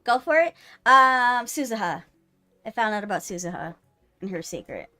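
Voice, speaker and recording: A woman chatting casually on a live game stream, playful, teasing and quick to swear, with music behind her. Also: medium pitch, expressive, pushed and bright. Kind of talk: casual banter while live streaming a visual novel.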